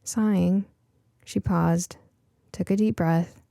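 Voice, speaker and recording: A woman's voice: on the low side, fairly even intonation, slow pace, creaky, noisy background. A woman reading a scripted story as an audiobook narrator.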